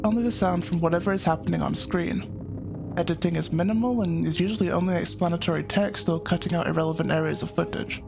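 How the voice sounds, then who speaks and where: A man narrating a scripted analytical video essay as its host, in a measured, explanatory manner. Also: high-pitched, gravelly.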